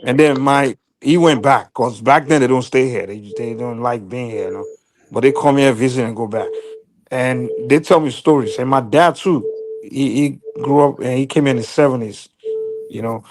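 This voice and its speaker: A man asks polite questions in a group debate and tells a family story at a middling pitch. A faint hiss and clicks sit under his voice. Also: normal speed. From outside the United States. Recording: faint background noise with clicks.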